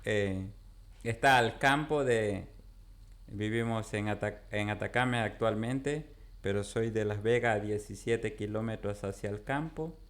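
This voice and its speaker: A man in his thirties from Ecuador, a missionary pastor guest describing his background in a plain, unhurried way. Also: lowish voice, fairly bright tone. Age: 30s. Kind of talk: interview answers. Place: radio studio.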